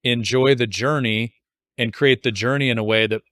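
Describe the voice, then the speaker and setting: A man's voice: medium pitch, steady tone, normal speed, a bit bright, no echo. Casual male podcast host, close dry sound. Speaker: podcast host interviewing an author. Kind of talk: casual conversational interview.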